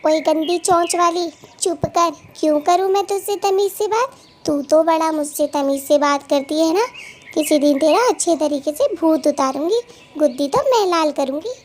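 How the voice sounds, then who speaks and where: A woman's voice: extremely high pitch, normal speed. A woman voicing a quarrelsome, hot-tempered female cartoon bird with an emphatic, taunting, scolding way of talking. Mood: combative scolding. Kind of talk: animated cartoon dialogue.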